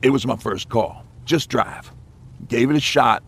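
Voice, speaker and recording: An American man playing a weary survivor of nuclear war in a game, recounting it in plain, halting, profane words. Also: mid-range voice, slightly rough. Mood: weary, somber. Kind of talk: naturalistic game acting, recounting past events.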